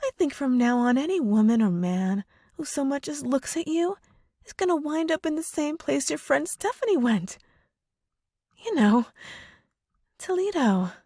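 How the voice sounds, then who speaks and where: A woman playing a possessive young witch girlfriend in an ASMR roleplay, cooing sweetly with drawn-out words and a menacing undertone. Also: high-pitched, warm tone, slightly rough, dry recording, studio quality, full character voice. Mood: possessive threat. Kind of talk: ASMR roleplay audio drama.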